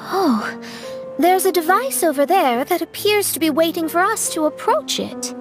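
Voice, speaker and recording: An actress voicing a composed young woman adventurer who reasons aloud in a measured way. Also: extremely high pitch, animated. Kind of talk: game voice acting. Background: music.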